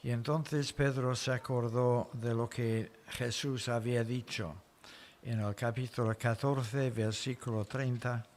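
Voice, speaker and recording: A male preacher delivers an expository sermon with a foreign accent. His voice sits at a medium pitch and moves slowly. He tells and explains the story deliberately, phrase by phrase. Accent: foreign accent in Spanish.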